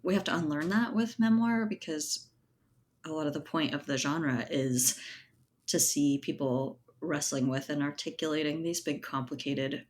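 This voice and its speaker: A woman with a low voice talks casually as an interview guest, with noticeable noise behind her. She is informal and thoughtful, often tagging her points with small checking questions. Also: moderate pace, darkish tone. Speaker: interview guest, writer and editor. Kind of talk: casual conversational interview talk.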